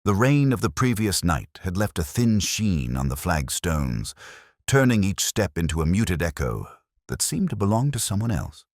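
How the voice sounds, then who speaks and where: A man playing a brooding, observant police inspector, narrating his case in a measured, reflective, literary way. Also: low voice, big pitch swings, dry recording, studio quality, exaggerated voice. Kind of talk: scripted first-person detective story narration.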